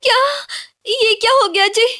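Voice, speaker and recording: A woman voicing a devoted, sensible young village wife in an animated moral story, with a caring, expressive and dramatic manner. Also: extremely high pitch, normal speed. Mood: alarmed.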